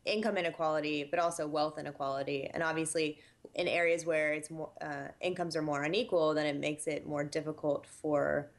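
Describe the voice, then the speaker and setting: Low female voice, expert interview guest, measured and explanatory. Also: fairly even intonation. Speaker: housing statistics director, guest. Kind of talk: interview answers.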